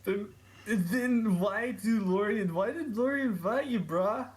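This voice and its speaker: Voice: medium pitch, slow, pitched above the performer's own voice, close to an everyday voice. A reader voicing a redheaded teenage boy, a blunt, slangy bro who talks with drawling mock seriousness. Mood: blunt, mock serious.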